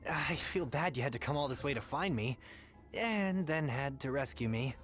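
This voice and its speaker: A voice actor playing an earnest young adventurer who speaks sincerely and eagerly. The voice sits in a middle pitch. Game music plays clearly behind. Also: expressive, moderate pace, fairly bright tone, natural voice.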